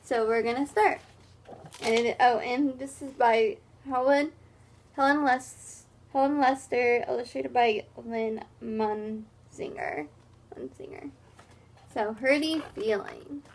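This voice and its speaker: A woman reading a picture book aloud as a teacher, pausing for halting, simple explanatory asides. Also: a little high, gravelly.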